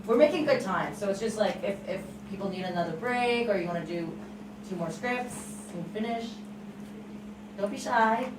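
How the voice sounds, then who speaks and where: A woman hosts a table read in a casual, informal way. Her mid-pitched voice is picked up at a distance in a roomy, echoing space with clear background noise. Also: normal speed. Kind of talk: casual event hosting. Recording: echoey room, distant mic, background noise.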